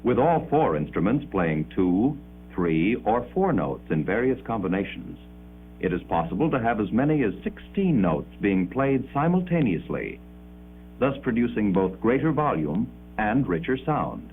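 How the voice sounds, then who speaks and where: A man delivering formal, measured 1950s educational film narration with precise enunciation, on an old muffled soundtrack. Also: lowish voice, sing-song, slight background noise.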